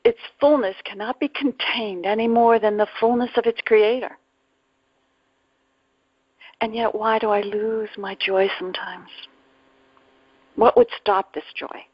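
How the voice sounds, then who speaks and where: A woman leading a guided spiritual meditation in a contemplative, unhurried way, speaking phrase by phrase with long pauses, her voice breathy and at times close to a whisper. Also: mid-range voice, steady tone, no room sound. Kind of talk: guided meditation, reflective spiritual talk with readings. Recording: dull, muffled lo-fi audio.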